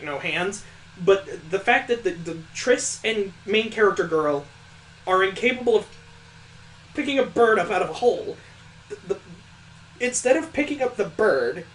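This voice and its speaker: A man's voice: sits high, a bit bright, slight background noise, poor audio. A man reading a visual novel aloud as a let's player, breaking in with sarcastic, incredulous asides. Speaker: video game let's player.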